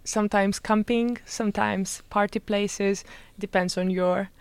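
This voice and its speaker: A woman's voice: medium pitch, steady tone, measured pace, smooth, no room sound. A young woman from northern Greece, co-hosting a student radio talk show in casual, laughing chat. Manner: laughs often, chatty.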